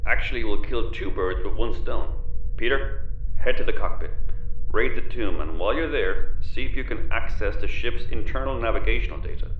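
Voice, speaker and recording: A man's voice: on the low side, normal speed, lo-fi sound, slight background noise, close to an everyday voice. An actor playing a level-headed, firm and practical spaceship crewman in a sci-fi audio comedy. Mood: firm planning.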